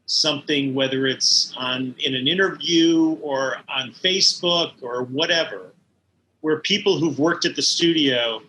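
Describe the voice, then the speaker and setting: Interview guest: mature man, bright forceful tone, thoughtful and halting, faint background noise. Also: a little high, rough recording. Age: middle-aged or older. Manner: halting, thoughtful, searching for words. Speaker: interview guest, animation studio head. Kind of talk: conversational interview, reminiscing.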